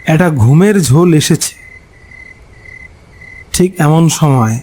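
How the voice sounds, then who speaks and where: A man narrating a scripted horror story in a dramatic, suspenseful way, pausing between short phrases. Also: on the high side, expressive, dark tone, husky. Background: background music.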